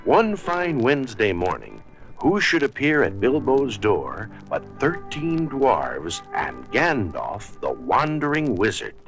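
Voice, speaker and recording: A man narrating a scripted children's story in a dramatic, deliberate storybook style. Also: medium pitch, huge pitch swings, soft background music, poor audio.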